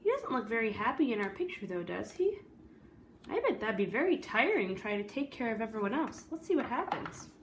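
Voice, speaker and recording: A woman reading a picture book aloud to young listeners, expressively, pausing to explain and ask questions. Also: fairly high pitch, big pitch swings, a little hiss or hum. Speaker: read-aloud storyteller.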